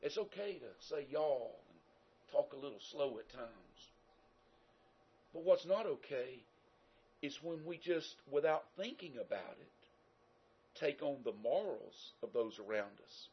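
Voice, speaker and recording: Southern guy preaching, kinda husky voice, a bit high for a man, muffled lo-fi sound. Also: expressive, a little slow. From American South. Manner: folksy, conversational preaching with emphatic rises. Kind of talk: sermon. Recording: dull lo-fi sound.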